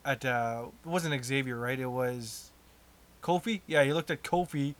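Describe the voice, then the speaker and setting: A man's voice: mid-range voice, measured pace. A man co-hosting a casual chat, joking around and laughing easily. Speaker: podcast co-host.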